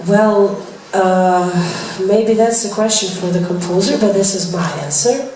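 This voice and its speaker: A chatty young woman fields press questions, laughing often and filling pauses with "uh". Her voice sits at a middle pitch and comes from far off the mic. The room is very echoey and noisy. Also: warm tone. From Serbia. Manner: chatty, laughing, apologetic, with many fillers. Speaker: singer answering press. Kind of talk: press Q&A chat.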